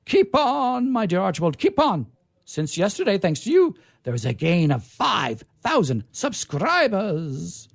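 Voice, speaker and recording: A man acts out a pompous, exacting newspaper boss in an old science fiction story. He declaims and lectures his writers grandly. His pitch leaps around with great animation over a slightly gravelly tone. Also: huge pitch swings, unhurried, full character voice. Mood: effusive praise.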